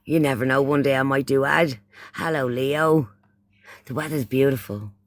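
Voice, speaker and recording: A man's voice: medium pitch, steady tone, normal speed, dry recording. A man from Ireland with an Irish accent hosting a casual livestream chat, often repeating phrases and using pet names for those he addresses. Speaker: livestream host.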